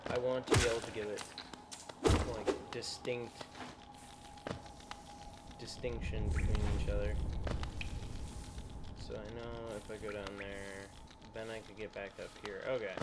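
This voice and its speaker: A young man with a shrill voice, chatting loosely as he plays a video game, rambling with lots of fillers and restarts into a USB microphone. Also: flat delivery, measured pace, long pauses, heavy background noise. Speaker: gameplay commentator. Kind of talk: casual let's-play chat. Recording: loud game music behind.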